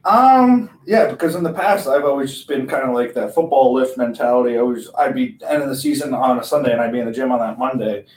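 A man talks quickly and upbeat about his training, with a medium voice. He sounds far from the mic in an echoey room. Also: mid-range voice, a little mellow. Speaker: guest pitcher. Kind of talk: casual podcast chat.

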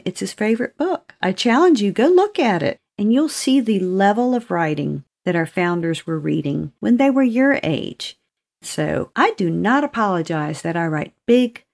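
Woman, author, earnest encouraging talk, gravelly edge, a bit low. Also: darkish tone. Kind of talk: encouraging talk to young readers.

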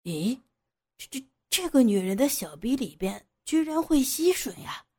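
A woman voicing a forceful, gloating adult man in a lowered, breathy voice. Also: sing-song, unhurried, heavily acted. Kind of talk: audio drama reading.

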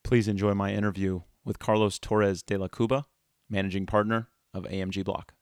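A man's voice: fairly low pitch, level tone, fairly quick, dry recording, studio quality. A man hosting a podcast, reading a scripted introduction and asking interview questions. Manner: smooth, read-aloud delivery. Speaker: podcast host.

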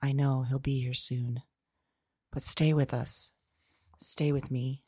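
A woman playing a frightened, lonely, tender woman resigned to doom in an audio drama, speaking haltingly and hushed, sometimes whispering. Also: rumbling low, little pitch movement. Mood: relieved, tense. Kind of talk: intimate audio drama monologue.

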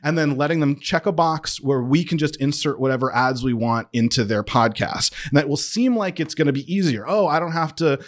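A man's voice: fairly high pitch, expressive, brisk, hardly any pauses. Earnest, emphatic man making an impassioned case. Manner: earnest, emphatic, self-interrupting with repeated phrases. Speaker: interview guest, comedy and audio writer. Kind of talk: conversational interview, impassioned argument.

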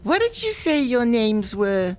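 A chatty woman rabbi who hosts and matchmakes in a comedy audio drama. Her voice leaps up and down dramatically and takes its time, gushing and coy, over soft music. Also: a little high, sing-song, unhurried. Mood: gushing, welcoming. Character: effusive matchmaking woman rabbi, chatty, secretly scheming host.

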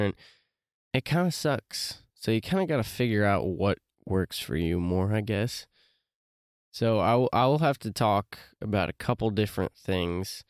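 A man's voice: fairly low pitch, smooth, no room sound, clean studio sound. A man hosting a casual solo podcast, talking loosely with many fillers and restarts, his voice a bit congested from allergies. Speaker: podcast host.

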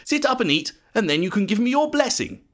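A man's voice: high voice, big pitch swings, a little fast, voice pushed up. A man voicing a sly younger son in a children's Bible story, eager to please as he deceives. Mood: eager deceit.

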